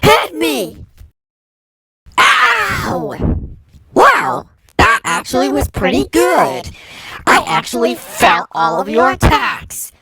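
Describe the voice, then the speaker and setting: A woman's voice: high voice, wildly swooping pitch, takes it slowly, long pauses, sharp tone, no room sound, heavily acted. A woman voicing a cocky, taunting chaos monster spoiling for a fight in an amateur action drama. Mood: aggressive taunting. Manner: taunting, challenging.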